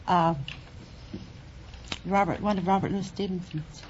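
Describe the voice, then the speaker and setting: A woman's voice: lowish voice, fairly even intonation, measured pace, darkish tone. A woman giving hesitant, unscripted answers as a contestant on an old radio quiz. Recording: dull noisy vintage radio recording.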